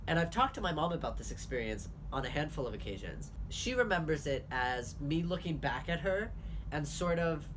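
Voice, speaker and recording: A man's voice: fairly high pitch, big pitch swings. Young man, casual vlog chat, candid and chatty, faint background noise. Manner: candid, chatty, quick asides. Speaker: vlogger host.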